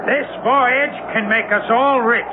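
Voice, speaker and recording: An actor playing a fearsome, jealous old sea captain in a 1970s radio drama, with a commanding, theatrical, archaic way of speaking. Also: male voice, very high voice, steady tone, a little slow, narrow, tinny audio. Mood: roaring command. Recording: noisy, band-limited 1970s radio broadcast recording.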